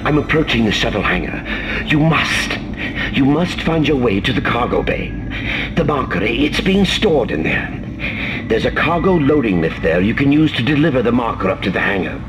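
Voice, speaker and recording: An actor playing an anxious, obsessive older male scientist in a video game, pleading urgently and haltingly. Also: mid-range voice, sing-song, background noise, close to an everyday voice. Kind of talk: dramatic video game acting. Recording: radio transmission under loud music.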